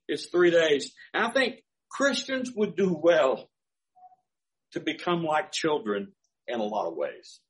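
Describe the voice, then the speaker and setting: A man's voice: a little high, fairly bright tone, rough recording. An older man, a pastor, preaching a sermon through folksy personal stories with chuckling asides.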